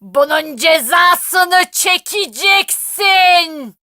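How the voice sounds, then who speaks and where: Brief: a menacing cartoon witch, high and bright, very slow threats, dry studio with music. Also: expressive, no room sound, exaggerated voice. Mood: stern threatening. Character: harsh menacing old female witch. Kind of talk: cartoon voice acting.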